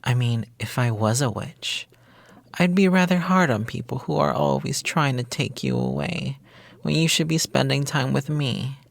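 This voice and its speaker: A coy, playful witch of a sweetheart acted out by a man in an intimate ASMR roleplay. The talk is flippant and cutesy, dodging every question with a tease. A veiled menace sits under the sweetness, at a middling pitch. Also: moderate pace, creaky, no echo. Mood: coy, scheming. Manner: flippant, evasive, teasing, cutesy slang. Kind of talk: intimate ASMR roleplay monologue, girlfriend-experience script.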